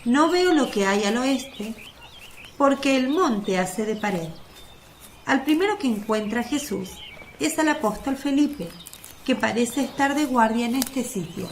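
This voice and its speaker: A woman's voice: on the high side, lively intonation, a little slow, slight background noise. A woman reading scripted narration for a religious radio drama.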